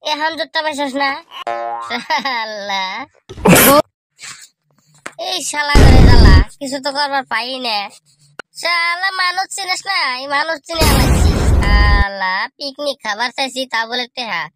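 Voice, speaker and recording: A young village prankster from a comedy cartoon, squeaky and pitched up. He grumbles in a rural Bengali accent, cheeky and full of exaggerated self-pity. Loud music plays under his voice. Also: animated. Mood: scheming, annoyed. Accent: rural regional Bengali. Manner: exaggerated, grumbling, slangy, with drawn-out wails. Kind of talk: comic cartoon monologue.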